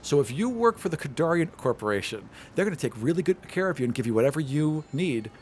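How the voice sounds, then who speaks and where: A man's voice: on the high side, very animated, fast talker, darkish tone, a little hiss or hum. A man narrating and explaining a tabletop game as game master.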